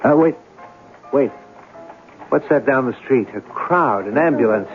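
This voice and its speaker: A man's voice: mid-range voice, animated, close to an everyday voice. Guy acting probing old-timey newspaper reporter, theatrical radio play, thin narrow sound, bit of music behind. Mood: musing. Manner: theatrical, deliberate phrasing. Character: probing, eloquent newspaper reporter in turn-of-century New York. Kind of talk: old-time radio drama acting and storytelling.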